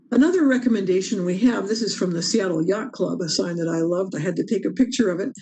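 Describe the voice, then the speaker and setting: An earnest woman leads a training on meeting rules over a video call, leaning hard on the points she thinks matter most. Her voice is mellow and dark-toned, at an ordinary pitch. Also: rough recording. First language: English. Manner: emphatic, earnest, stresses key rules. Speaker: parliamentary procedure trainer. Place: home or office on a video call. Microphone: video call microphone.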